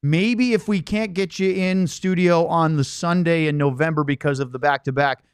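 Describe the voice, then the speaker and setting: Male host, American, smooth clear voice sitting fairly high, dry close sound, friendly sports chat. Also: fairly even intonation, normal speed, no room sound. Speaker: podcast host. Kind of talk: casual sports podcast chat.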